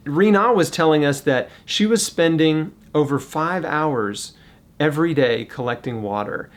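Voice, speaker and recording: A man presenting a charity appeal in a casual, earnest way, talking straight to the viewer with rhetorical questions. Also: on the high side. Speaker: fundraiser and presenter.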